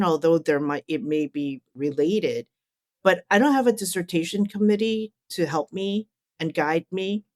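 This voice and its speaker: A woman's voice: fairly low pitch, dry recording, studio quality. A middle-aged woman answering as an interview guest and academic author, candid, colloquial and emphatic.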